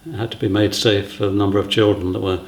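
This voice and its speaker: A man with a lowish voice gives an informal interview explanation. He is halting and matter-of-fact, and the room around him echoes noticeably. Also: little pitch movement. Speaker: theatre set designer.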